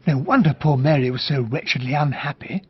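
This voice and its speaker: An actor playing a gentlemanly narrator in a radio drama, telling of a trial in a wry, confiding way. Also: male voice, medium pitch, brisk, natural voice. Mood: rueful. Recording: dull, dry radio studio recording.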